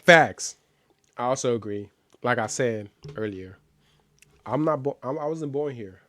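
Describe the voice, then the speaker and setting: A man's voice: mid-range voice, measured pace, fairly bright tone. A man from Nigeria who grew up in the United States, with an American accent, reacting to a video as a streamer in casual slang and repeating phrases for emphasis. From born in Nigeria, grew up in the United States.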